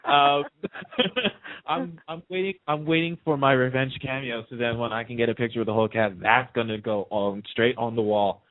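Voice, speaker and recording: A man who works as a radio host, chatting casually and telling fan anecdotes in an excited, dramatic way. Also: medium pitch, normal speed, dry recording, phone-quality sound.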